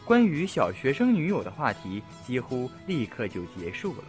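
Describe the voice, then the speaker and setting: A man's voice: mid-range voice, wildly swooping pitch, moderate pace, a little mellow, soft background music. A man playing the storyteller, giving scripted narration in a radio drama. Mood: narrative. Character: male storyteller of a school romance.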